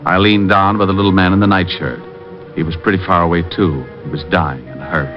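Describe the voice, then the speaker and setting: An actor playing a cool, wisecracking private detective with a wry, clipped, deadpan noir delivery in a radio drama. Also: male voice, deep voice, brisk, lightly acted. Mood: terse narration. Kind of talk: 1940s-50s radio acting, noir narration. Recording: muffled old radio recording, music behind.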